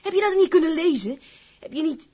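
A woman's voice: very high voice, animated, fairly quick, warm tone. An actress playing a lovestruck, outspoken young woman from a seaside town in a drama, with blunt, insistent, girlishly colloquial speech. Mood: passionate, insistent. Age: young adult. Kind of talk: dramatized dialogue acting.

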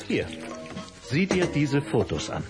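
A man's voice: fairly high pitch, wildly swooping pitch, slow pace, over music, exaggerated voice. A man playing a stern, exasperated father in a children's audio drama. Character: stern, exasperated father questioning his son.

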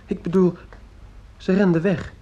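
Earnest, mellow, husky schoolboy. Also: male voice, high-pitched, flat delivery, takes it slowly, highs cut off. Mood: lovesick, insistent. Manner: hesitant, earnest. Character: earnest, lovesick teenage schoolboy, polite to his mother. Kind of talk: Dutch radio play acting.

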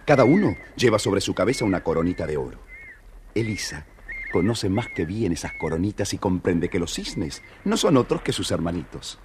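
A man narrating a children's fairy tale from a script in a theatrical, raspy voice. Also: deep voice, very animated, normal speed, slight background noise. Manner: theatrical storytelling.

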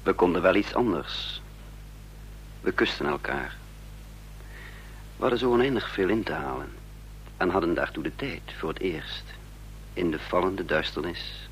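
An actor playing a troubled man in love who tells his own espionage story in a measured, dramatic radio drama style. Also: low voice, poor audio. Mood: tender, grave. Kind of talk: Dutch radio drama narration, literary first person. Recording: faint noise and hum, old radio recording.

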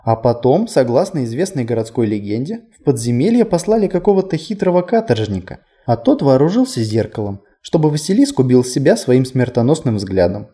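Whimsical tour guide, mellow and animated, read by a man. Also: medium pitch, fairly quick, few pauses, lightly acted. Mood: playful storytelling. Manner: conversational, playful, self-deprecating. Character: whimsical, chatty male city tour guide telling local legends. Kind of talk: audiobook character dialogue.

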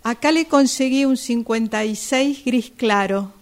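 High-voiced, muddled shop assistant played by a woman. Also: a little slow, lightly acted. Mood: busy, obliging. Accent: Rioplatense Argentine. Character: busy female shop assistant fetching trousers, obliging but muddled. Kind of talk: radio play acting.

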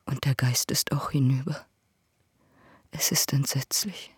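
An actress playing a bitter, vengeful, unsentimental woman in a radio drama, with a low husky voice and a cold, sardonic manner. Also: fairly even intonation, measured pace, no room sound, whispered, studio quality, full character voice. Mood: appalled, grim.

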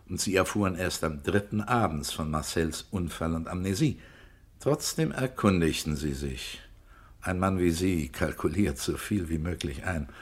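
A shrewd detective with a low voice, in a radio crime drama. He speaks precisely and with measured insistence, laying out his case in clipped phrases to corner his man. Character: shrewd male police investigator, coolly cornering a suspect. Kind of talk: German radio crime drama, narrating and interrogating.

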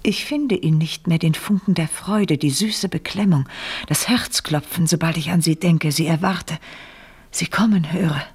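A woman's voice: deep voice, a little slow, darkish tone, full character voice. An actress in a German radio play as a proud, wounded French noblewoman who speaks in formal, confessional phrases with feigned candour. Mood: anguished confession. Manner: theatrical, formal, breathy confessional delivery. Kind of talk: German radio play acting, period drama.

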